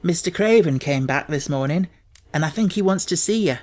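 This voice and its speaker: A man's voice: on the high side, animated, a little fast, darkish tone, natural voice. A man voicing a cheerful, plain-spoken young Yorkshire housemaid in an audiobook, chatting in broad, homely dialect. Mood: explaining, earnest.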